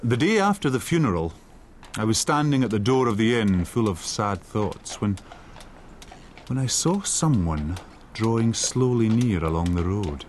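An actor playing an earnest, polite young innkeeper's lad, anxious but plucky, in a radio drama of a classic adventure story. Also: male voice, lowish voice, big pitch swings, measured pace, slight background noise. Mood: reflective.

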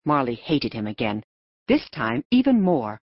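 A woman's voice: extremely low pitch, very animated, a little slow, dry recording. A woman narrating a children's novel from a script as an audiobook reader. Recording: dull, narrow lo-fi audio.

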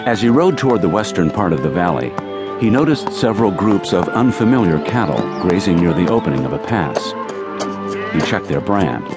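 Casting: male narrator, dramatized Western audiobook, deep pitch, prominent music bed. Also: big pitch swings, normal speed.

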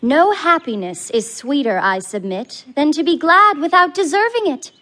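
A woman playing a witty, coquettish well-born young lady in a theatrical verse comedy, speaking in a coy, ornate, measured way. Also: sits high, lively intonation, sharp tone. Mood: coy, teasing. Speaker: actor.

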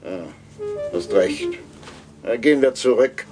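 A man's voice: mid-range voice, slow pace, music in the background, lightly acted. An actor playing a blunt, reckless cult henchman hunting a fugitive in a radio play. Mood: searching. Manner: blunt, rough talk. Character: gruff male cult henchman, brash and reckless.

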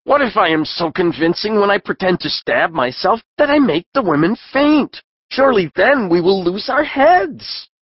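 A man's voice: sits high, sing-song, normal speed, breathy edge, dry recording, dull audio, full character voice. A man playing a foolish, self-important workman in a theatrical Shakespeare audiobook, earnest and pompous. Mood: fretful.

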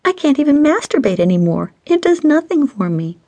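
A woman's voice: sits high, very animated, brisk, warm tone, no room sound, pitched above the performer's own voice. A woman narrator playing a flirty, pouting single woman with a teasing whine in an audiobook story. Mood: whiny, pouting.

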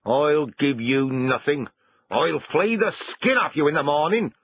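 A man's voice: medium pitch, animated, unhurried, breathy edge, dull audio. A man voicing a grumpy, blustering farmer who scolds in rustic phrasing, for a storybook reading. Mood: angry scolding.

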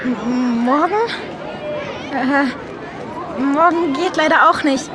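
An unsure young schoolgirl in a kids' audio drama. She speaks slowly in a high, hesitant, put-upon voice, with noisy chatter around her. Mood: hesitant, awkward.

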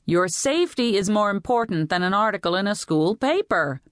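A woman's voice: mid-range voice, very animated, moderate pace, dry recording, clean studio sound, pitched above the performer's own voice. A woman voicing a firm, exasperated mother. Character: worried, strict mother of two girls. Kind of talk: audiobook character voice.

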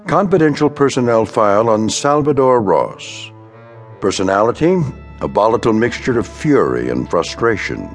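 Give me a low, sardonic storyteller. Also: male voice, big pitch swings, faint music behind. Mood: wry, ominous. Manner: measured, dramatic, wry. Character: sardonic, authoritative male narrator of eerie tales. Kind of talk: scripted radio drama narration.

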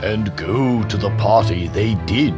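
A man delivering scripted story narration in a wry, deliberate manner. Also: fairly low pitch, loud music behind the voice.

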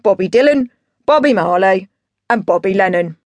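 A woman's voice: mid-range voice, lively intonation, normal speed, dry recording, lightly acted. A woman voicing a drunk, boastful, sweary middle-aged father with big musical dreams, in a bombastic, declamatory way, in an audiobook story. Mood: drunken, grandiose.

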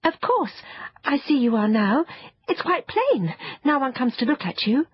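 A woman's voice: high-pitched, huge pitch swings, measured pace, slightly rough, highs cut off, voice pushed up, full character voice. A woman voicing eager, polite young children in a storybook, speaking earnestly and with curiosity. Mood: hasty, eager. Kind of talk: children's storybook character voices.

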